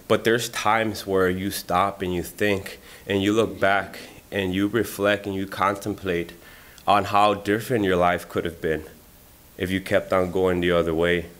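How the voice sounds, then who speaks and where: A man in his thirties, a community member at a library event, talking earnestly about a poem with frequent fillers and then reading it aloud at a lectern. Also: low-pitched. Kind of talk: personal reflection and poetry reading at a library event. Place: library meeting room. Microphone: lectern microphone.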